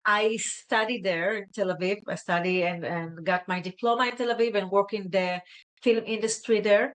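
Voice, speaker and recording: Israeli woman, earnest and halting. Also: mid-range voice, fairly bright tone, poor audio. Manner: earnest, halting, frequent "right?" tags. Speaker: guest, fashion stylist. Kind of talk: casual interview chat.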